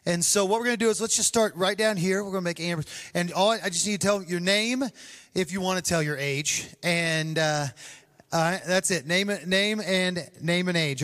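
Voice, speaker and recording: Man, 40s, pastor, joking, casual, handheld mic, slightly high voice, long room reverb. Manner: relaxed, joking, many fillers. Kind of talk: leading a group debrief.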